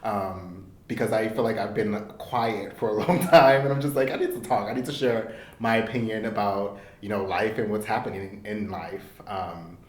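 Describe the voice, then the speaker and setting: A man's voice: lowish voice, normal speed, hardly any pauses. Earnest man chatting in an echoey room. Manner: earnest and open, laughing lightly between thoughts. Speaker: podcast co-host. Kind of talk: casual reflective chat.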